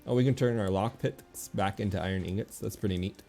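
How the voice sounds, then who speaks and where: Man, very low voice, mellow and dark, casual gameplay commentary, muttering, music behind. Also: quick pace, vocal fry. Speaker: video game streamer.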